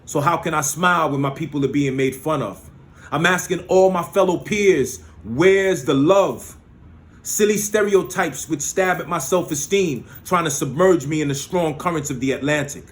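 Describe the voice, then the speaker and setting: Rhythmic higher-voiced male spoken word poet. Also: moderate pace. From United States. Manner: rhythmic, rhyming delivery with emphatic peaks. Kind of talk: spoken word poetry with short spoken asides.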